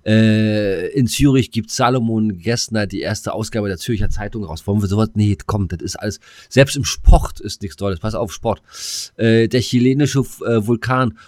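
Low-pitched man, casual podcast co-host. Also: moderate pace, hardly any pauses, a little mellow, no echo, clean studio sound. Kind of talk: casual, joking chat.

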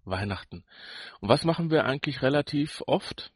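A man's voice: mid-range voice, lively intonation, normal speed, breathy edge, no echo. Man bantering casually as podcast co-host, dry sound.

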